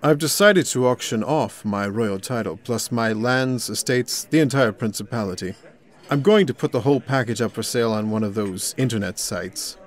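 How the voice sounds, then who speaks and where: A man's voice: medium pitch, moderate pace, close to an everyday voice. Smug, scheming prince played by a man. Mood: smug, persuasive. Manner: suave, self-satisfied. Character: smug, scheming charming prince, vain smooth talker. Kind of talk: comic dub voice acting.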